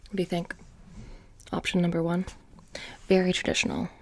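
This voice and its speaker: A woman playing a bored, petty young witch shopkeeper in a soft-spoken ASMR roleplay, casually menacing and deadpan, breathy and often dropping into a whisper. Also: on the low side, heavy background noise. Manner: deadpan, casual, many drawn-out pauses and filler "like".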